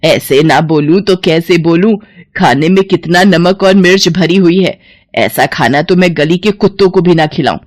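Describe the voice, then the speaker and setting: A woman voicing a scornful, bullying old Indian mother-in-law in a cartoon melodrama, scolding with drawn-out, dramatic calls. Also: lowish voice, close to an everyday voice. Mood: angry, disgusted. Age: older.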